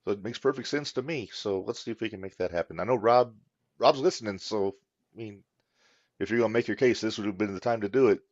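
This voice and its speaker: A man co-hosting a podcast, easygoing, pausing and restarting as he talks. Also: on the low side, no room sound. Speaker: podcast co-host. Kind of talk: casual chat. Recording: dull, lo-fi mic.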